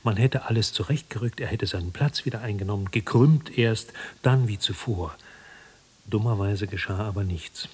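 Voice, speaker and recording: An actor playing the narrator of an absurd literary radio drama, telling it in a husky, deliberate voice. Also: male voice, lowish voice, darkish tone. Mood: dry, uneasy. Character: male narrator of an absurd, anxious tale.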